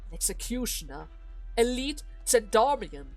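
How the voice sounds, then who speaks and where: A woman voicing a manipulative older male duke in a dramatic game story, speaking in a measured, formal and condescending way. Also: a little high, big pitch swings, darkish tone, soft background music. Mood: calmly condescending. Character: scheming older male duke, coolly manipulative adoptive father.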